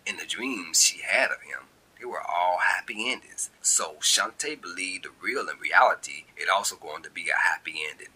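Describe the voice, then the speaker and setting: A man reading a short story as its narrator, in an expressive, colloquial storytelling style. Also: sits low, moderate pace, hardly any pauses, slight background noise, rough recording. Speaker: author and narrator.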